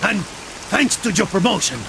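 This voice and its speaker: An actor playing a wry, questioning young crusader knight with a gravelly voice in a video game. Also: male voice, high-pitched, lively intonation, moderate pace. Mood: wry, uneasy. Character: doubtful, sardonic young male crusader knight. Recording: heavy rain and ambience noise.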